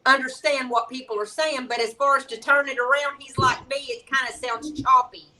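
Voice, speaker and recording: A woman's voice: sits high, steady tone, moderate pace. A woman, chatting frankly and easily as a guest crafter. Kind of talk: casual chat. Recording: bright voice, distant from mic.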